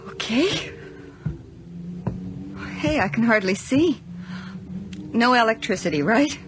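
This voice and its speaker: An actress playing a nervous, chatty young woman in a radio horror drama, halting, exclaiming and wisecracking. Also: a little high, expressive. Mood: uneasy. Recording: noisy archived radio drama.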